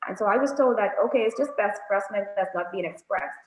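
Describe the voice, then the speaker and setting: A woman, speaking softly and hesitantly as a guest on a video call. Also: mid-range voice, fairly quick, background noise. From Bahamas. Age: 30s. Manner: soft-spoken, hesitant with frequent ums. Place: indoors, small room. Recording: roomy, dull phone audio.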